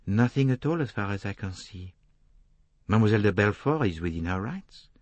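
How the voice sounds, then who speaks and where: A man voicing a calm, shrewd, courteous foreign detective, speaking formally and deliberately in an audiobook. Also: medium pitch, breathy edge, no room sound, pitched above the performer's own voice. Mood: placid, dry. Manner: placid, detached, formal, deliberate phrasing.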